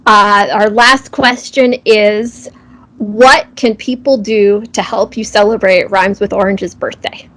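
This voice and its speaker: A woman's voice: medium pitch, fairly even intonation, takes it slowly, a bit bright, rough recording. A woman hosting a casual live interview, enthusiastic and appreciative as she puts questions to her guest. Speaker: interview host.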